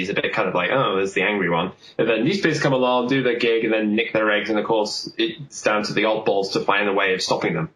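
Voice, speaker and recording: Echoey, low-voiced man. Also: fairly bright tone. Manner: rambling, explanatory. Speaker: podcast host. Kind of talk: casual film discussion. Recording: roomy, echoey sound.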